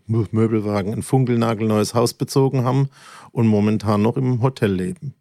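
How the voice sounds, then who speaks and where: Gravelly male podcast co-host. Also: lowish voice, a little fast, mellow, no room sound, studio quality. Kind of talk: casual podcast chat.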